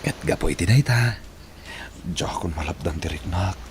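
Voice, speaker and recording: A man acting an earnest young suitor in an Ilocano radio drama, tender, breathy and emotional. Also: low voice, normal speed, slight background noise, natural voice.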